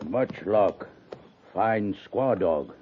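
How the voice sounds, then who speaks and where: A man playing a stolid army scout in a 1950s radio drama, speaking halting, telegraphic broken English. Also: lowish voice, animated. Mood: stolid, terse. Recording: tinny, noisy 1950s radio recording.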